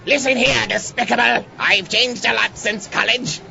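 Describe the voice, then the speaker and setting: A man's voice: very high-pitched, steady tone, normal speed, pushed and bright, heavily acted. A man playing a petty, resentful mad scientist rival in a comic audio drama, sneering and blustering melodramatically. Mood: blustering menace. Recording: dull lo-fi recording, clear noise.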